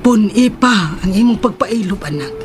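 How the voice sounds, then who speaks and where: A woman playing a patient, supportive mother of a grown son in a radio drama, gentle and consoling. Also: medium pitch, animated, normal speed, slight background noise, natural voice.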